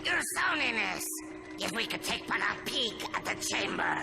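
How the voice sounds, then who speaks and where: A man's voice: extremely high pitch, big pitch swings, moderate pace, creaky, full character voice. A man voicing a megalomaniac, petulant robot mad scientist in a video game, theatrical and sneering. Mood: menacing. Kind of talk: animated video game cutscene acting. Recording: loud music over the voice.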